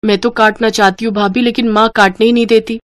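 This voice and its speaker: A woman's voice: a little high, flat delivery, fast talker, no echo, clean studio sound, natural voice. Woman voicing a plaintive, self-conscious college girl. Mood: dejected. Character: sad, self-conscious college girl, shy younger sister-in-law.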